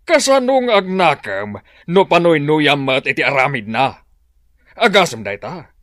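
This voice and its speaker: Bitter middle-aged ilocano dad, radio drama, high-ish and swingy, dry close sound. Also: male voice, sing-song, unhurried, fairly bright tone, no echo. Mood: bitter anger. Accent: Ilocano Filipino. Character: hard, unforgiving, bitter middle-aged father.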